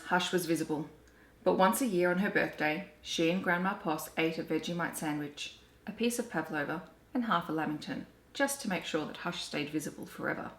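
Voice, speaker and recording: An Australian woman reading children's storybooks aloud in an animated, deliberate storytelling way. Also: on the low side. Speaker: children's story reader and book vlogger. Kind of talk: expressive storybook read-aloud. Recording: roomy, echoey home recording.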